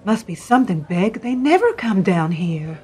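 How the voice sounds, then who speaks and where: A woman's voice: mid-range voice, sing-song, moderate pace, darkish tone, close to an everyday voice. A chatty bank worker shares rumors from the office, as voiced by a woman. Her voice moves up and down a lot, with a faint noise underneath. This is a radio play. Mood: gossipy. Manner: chatty, gossipy. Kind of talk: amateur radio play acting.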